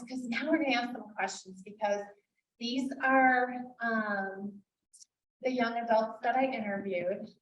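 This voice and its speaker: Woman, upbeat event host, quick questions, higher voice, echoey room heard from a distance. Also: fairly bright tone. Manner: upbeat, quizzing young guests with quick questions. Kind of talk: casual live event moderating. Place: bookstore event space. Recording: roomy, from a distance.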